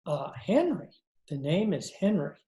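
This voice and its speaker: A man playing a courtly, tipsy old gentleman with florid, formal, halting speech, in old-time radio drama style. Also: fairly high pitch, sing-song. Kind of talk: 1940s radio drama acting. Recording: roomy, muffled-toned video-call echo.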